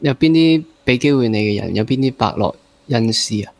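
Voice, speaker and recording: A man's voice: mid-range voice, lively intonation, brisk, vocal fry, noisy background. A man with a Hong Kong accent hosting a casual interview, rephrasing and repeating himself as he thinks aloud. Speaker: interview host.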